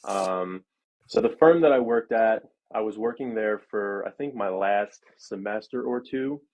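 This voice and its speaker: A man in his early thirties, a guest architect, talks through his career in a loose, rambling style. His voice sits on the low side, and his sentences are sprinkled with "like" and "um". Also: unhurried, darkish tone, poor audio. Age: 30s. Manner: chatty and rambling, lots of "like" and "um". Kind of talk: casual interview talk.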